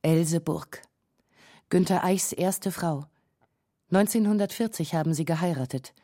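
A woman narrating a scripted radio documentary, composed and deliberate. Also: fairly low pitch, warm tone, dry recording, clean studio sound.

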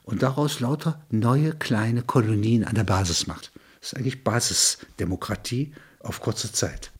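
A man narrating literary prose and reflecting on it in a measured, thoughtful manner. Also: medium pitch, husky.

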